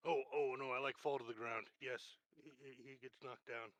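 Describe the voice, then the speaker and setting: A man's voice: mid-range voice, steady tone, normal speed, a bit bright, no echo. A man chatting casually as a player in a tabletop roleplaying game.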